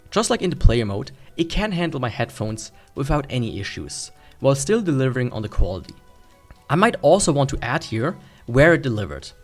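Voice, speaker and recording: Man narrating a review, very lively intonation. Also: medium pitch. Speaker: tech reviewer and host.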